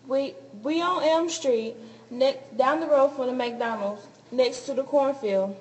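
A woman's voice: high voice, measured pace, lo-fi sound. A young woman playing a blunt, indignant character in an amateur radio play. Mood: alarmed. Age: young adult. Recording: faintly noisy amateur recording.

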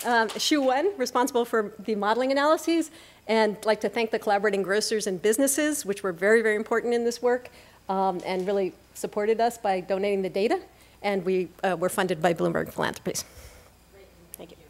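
A middle-aged woman giving a conference presentation at a lectern microphone, summarizing findings in a measured question-and-answer way with frequent ums. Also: mid-range voice, slight background noise. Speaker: research presenter.

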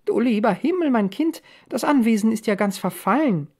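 A woman reading an audiobook in the role of a gentle, easily astonished middle-aged mother. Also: medium pitch, lively intonation, measured pace, creaky, husky, pitched above the performer's own voice, exaggerated voice. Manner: soothing, earnest, motherly. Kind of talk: audiobook character dialogue.

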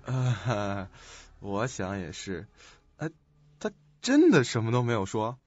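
A man's voice: lowish voice, sing-song, slow pace, darkish tone, slightly rough, dull audio, full character voice. A man playing a scheming, calculating doctor with a sly manner in a suspense radio play. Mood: suspicious. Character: scheming, smug, suspicious male doctor. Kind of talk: audio suspense drama acting. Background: music.

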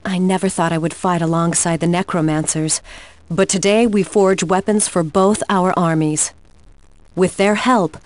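A woman playing a resolute, grave warrior queen in a video game, giving war briefings in a measured, formal, commanding way. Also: on the low side, no room sound, lightly acted. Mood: grim resolve.

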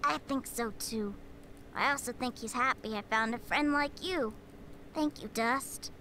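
A woman's voice: fairly high pitch, unhurried, bright, forceful tone, a little hiss or hum. A woman voicing an earnest young male villager boy in a game cutscene, with a folksy manner. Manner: folksy, drops g's.

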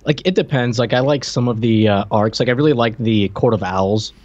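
An American man in his thirties or forties chatting casually as a podcast co-host, halting and dryly joking. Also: mid-range voice, a little mellow, dry recording, highs cut off. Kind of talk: casual pop-culture chat. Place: room at home, on a video call. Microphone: desk microphone up close.

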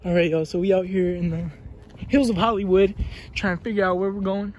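A young man vlogging a hike into his phone outdoors, excitable and laughing often as he talks to the camera. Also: high voice, a little fast, a little hiss or hum. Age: young adult. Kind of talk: casual hiking vlog. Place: outdoors on a hillside trail. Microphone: phone held at arm's length.

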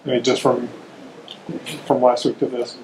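A man's voice: medium pitch, little pitch movement, moderate pace, darkish tone. A man, a sports reporter asking a question at a press conference. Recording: echoey room, faint noise.

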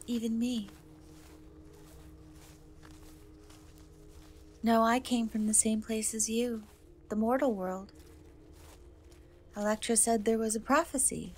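A woman plays a young cat girl rescuer in a fantasy ASMR roleplay. Her voice is fairly high and soft, and she speaks very slowly, with gentle, hesitant reassurance. Noticeable ambient noise sits behind her. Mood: wistful.

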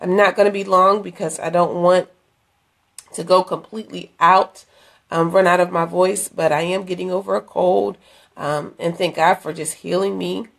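A middle-aged woman, hoarse from a cold, chatting earnestly into a phone at arm's length in a dim room, inviting viewers to an event. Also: on the low side, flat delivery, normal speed, fairly bright tone. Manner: chatty, earnest, with many fillers and restarts. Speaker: ministry leader promoting an event. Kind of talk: casual live-stream invitation. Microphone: phone held at arm's length.

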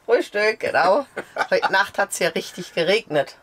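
A woman in her forties chatting cheerfully and quickly to the camera inside a camper van. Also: mid-range voice, lively intonation, a bit bright. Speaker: travel vlogger. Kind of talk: casual chat.

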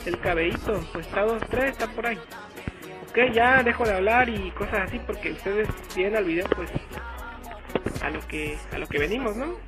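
A young man, giving casual, slangy gameplay commentary as a youtuber, excitable and repeating himself. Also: sits high, normal speed. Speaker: gaming youtuber. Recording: game music underneath. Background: game music.

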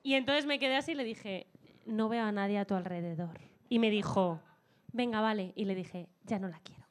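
A woman's voice: medium pitch, brisk. A woman, teasing and telling a story with giggles on a small bar stage, speaking into a handheld mic. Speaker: guest comedian and actress. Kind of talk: playful comedic banter. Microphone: handheld microphone.

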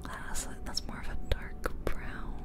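A woman whispering in a soft, breathy voice, casually musing aloud in close-up ASMR game commentary. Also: takes it slowly, loud music behind the voice. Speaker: ASMR gaming content creator. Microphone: close microphone, ear-to-ear ASMR.

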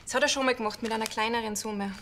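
High-voiced, obliging woman, acted out by an actress. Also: fairly even intonation, very fast, fairly bright tone, a little hiss or hum, close to an everyday voice. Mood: explaining. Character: obliging, slightly flustered female choir club chairwoman.

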